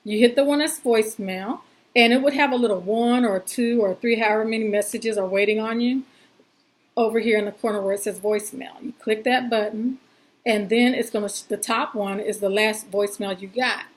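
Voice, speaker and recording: A woman's voice: fairly high pitch, fairly even intonation, a little fast, rough recording. A woman presenting an informal step-by-step tutorial in a chatty, folksy and reassuring way, using plain everyday words.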